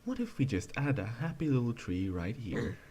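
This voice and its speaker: A man playing a gentle, encouraging TV painter in a soft, calm, folksy way. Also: mid-range voice, normal speed, a loud crowd, close to an everyday voice. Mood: serene.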